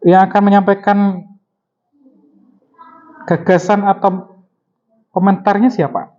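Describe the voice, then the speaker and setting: A man's voice: high-pitched, flat delivery, takes it slowly, a little mellow. Male teacher, muffled recording. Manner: prompting with short questions and repeating answers. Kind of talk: classroom instruction, questioning students.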